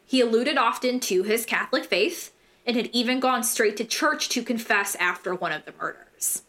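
A young American woman with a fairly high voice tells true crime as a podcast host. She is casual and irreverent, leaning on slang and swearing freely as she narrates. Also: moderate pace, fairly bright tone.

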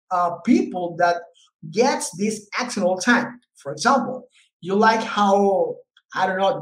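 A man from Colombia with a Colombian Spanish accent, a guest English teacher talking casually with fillers and restarts. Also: sits high. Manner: loose, repetitive, many fillers and restarts. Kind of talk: casual interview talk. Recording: roomy echo.

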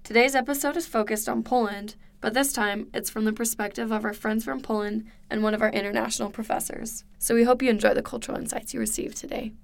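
Woman, podcast host, friendly announcing, gravelly, higher voice. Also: steady tone, fast talker, few pauses. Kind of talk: scripted podcast introduction.

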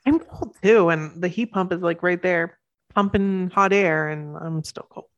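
An enthusiastic woman in her thirties co-hosts a casual podcast, talking fast with asides. She is sniffly from the cold, and her voice sits slightly low. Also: highs cut off. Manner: chatty, enthusiastic, quick asides. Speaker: podcast co-host. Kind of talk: casual chat.

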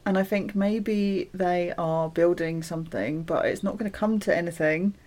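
A woman's voice: lowish voice, normal speed, darkish tone. A woman co-hosting a podcast, laughing often and giving playful, firm opinions. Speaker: podcast co-host. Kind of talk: casual chat.

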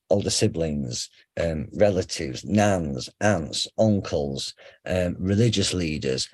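Deep husky man with a faint Sheffield accent. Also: darkish tone, no echo. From England. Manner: conversational, explains with examples, frequent fillers and restarts. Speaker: psychotherapist guest. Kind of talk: casual explanatory interview.

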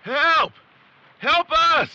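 A man's voice: extremely high pitch, lively intonation, drawn-out words, bright, forceful tone, dull audio, full character voice. An actor playing a protective, desperate young man in an audio drama, pleading urgently and reassuring. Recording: very noisy outdoor water ambience.

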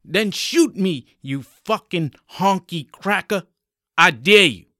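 A man's voice: high-pitched, lively intonation, slow, sharp tone, no room sound, clean studio sound, voice pushed up, heavily acted. A man voicing a desperate, indignant young man in a dramatic story, pleading and defiant. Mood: defiant, daring. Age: young adult. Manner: emphatic, exclaiming, pleading.

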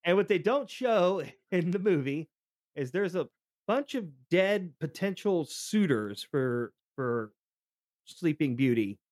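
A middle-aged man hosts a podcast, telling stories casually. His voice sits a little high and he often restarts and repeats words. The sound is very dry. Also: sing-song, slow pace, darkish tone, dry recording. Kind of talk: casual conversational storytelling.

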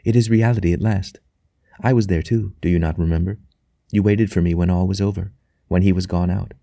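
Guy doing a quietly rapt young wife from a novel, soft n reverent, mellow tone. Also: on the low side, fairly even intonation, a little fast, dry recording. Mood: earnest wonder. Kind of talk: audiobook character dialogue.

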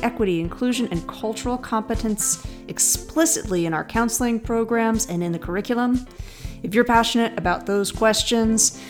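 A woman hosting an education podcast, reading announcements and introducing her guest in an enthusiastic way. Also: mid-range voice. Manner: enthusiastic, upbeat promo reading. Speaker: podcast host.

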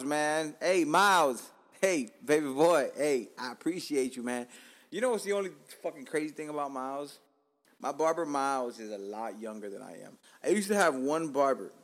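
A man in his thirties, born in Cuba, hosting a casual podcast in a joking, profane, laid-back street-talk manner. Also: medium pitch. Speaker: podcast host.

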